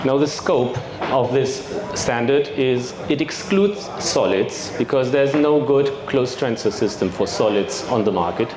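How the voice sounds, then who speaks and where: A man with a German accent giving a technical presentation at a trade fair, measured, with fillers and throat clearing. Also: mid-range voice, animated, a crowd in the background. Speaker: industry expert presenter. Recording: echoey hall with background noise.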